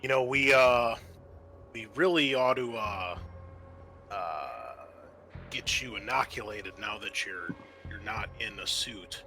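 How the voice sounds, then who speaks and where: A man's voice: on the low side, lively intonation, slow pace, pushed and bright, soft background music, voice pushed down, heavily acted. A man voicing an evasive male spaceship scientist in a tabletop game, talking in a hesitant, placating way. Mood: coaxing.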